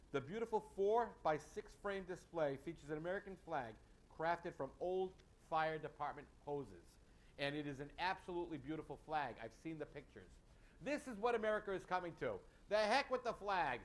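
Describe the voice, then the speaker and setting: A man co-hosting a local political commentary show, indignant and emphatic, with uneven pacing. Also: fairly high pitch. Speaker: co-host.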